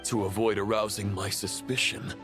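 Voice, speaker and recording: An actor playing a cold, grandiose villain in a video game, speaking in a formal, measured, pompous manner. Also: male voice, fairly low pitch, steady tone, music in the background, close to an everyday voice. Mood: grave realization. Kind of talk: dramatic video game voice acting.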